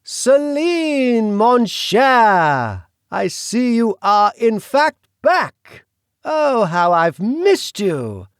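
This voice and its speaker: A man voicing a grandiose, menacing duke with a British accent, slow and theatrical. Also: very high voice, very animated, clean tone, dry recording, clean studio sound, voice pushed up, full character voice. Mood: gloating. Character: suave menacing male duke, grandiose villain.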